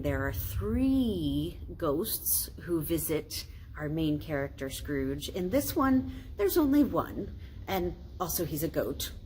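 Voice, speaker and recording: An American woman, a pastor, reading a children's story aloud in a deliberate, explanatory way. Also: sits low, mellow, poor audio. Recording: faint noise, ambient sound.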